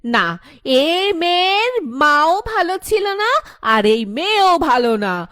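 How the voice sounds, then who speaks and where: A woman's voice: very high voice, very animated, slow pace, a bit bright, no echo, clean studio sound, heavily acted. A woman voicing a spiteful, scornful middle-aged mother-in-law in a cartoon drama. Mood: bitter, spiteful. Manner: scornful, sarcastic, complaining. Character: spiteful older housewife, bitter mother-in-law. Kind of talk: animated horror story drama dialogue.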